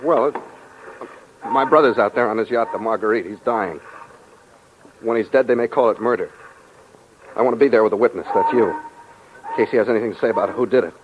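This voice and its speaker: A man's voice: fairly low pitch, brisk, long pauses, natural voice. An actor with an American accent playing an anxious man under suspicion in a 1940s radio drama, urgent, clipped and confiding. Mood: urgent, uneasy. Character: anxious man on the run, suspected by police. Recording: muffled, noisy old radio transcription.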